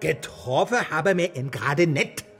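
Historical radio play: a boozy, rustic monk, high and unhurried, music underneath. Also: male voice, expressive, fairly bright tone. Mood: evasive. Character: jolly, boozing, greedy male Dominican monk. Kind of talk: German historical radio drama acting. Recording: music behind the voice.

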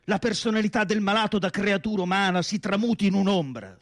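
An earnest, melancholy asylum doctor in a radio play. His voice sits a little high for a man and is recorded dry and close. He phrases deliberately and emphatically, leaving weighty pauses as in a stage monologue. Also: fairly bright tone, no room sound. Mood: nostalgic, proud. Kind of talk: theatrical radio drama monologue.

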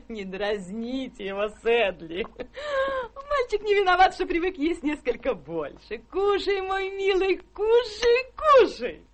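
An actress in a radio play voicing a doting, excitable middle-aged mother with a gushing manner. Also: extremely high pitch, slow pace, full character voice. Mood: tender, coddling. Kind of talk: theatrical radio play acting.

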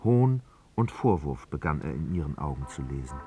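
An actor as the grave literary narrator of a radio drama, measured and solemn. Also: male voice, rumbling low, very animated, mellow, faint music behind.

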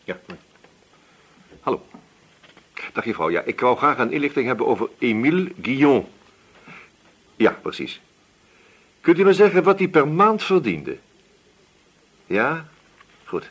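Shrewd, husky police inspector, animated pitch. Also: male voice, heavily acted. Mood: businesslike. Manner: brisk, questioning, authoritative. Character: shrewd, probing, sceptical male police inspector. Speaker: actor in a radio play. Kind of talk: Dutch radio drama acting.

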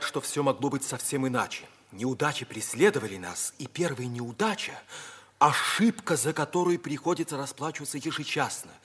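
An actor playing an earnest, proud and intense youth in an old radio stage drama, with a deliberate, solemn, declamatory delivery. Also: male voice, medium pitch, fairly bright tone, slightly rough. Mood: solemn, reciting. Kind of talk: mid-century Soviet radio stage drama. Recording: old radio play recording.

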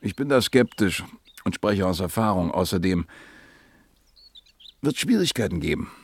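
A man's voice: sits low, animated, moderate pace, slightly rough, heavily acted. An actor in a German radio play playing a wry, laconic mobile disc jockey in his mid-thirties who flirts in short, dry phrases. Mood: wry, flirtatious.

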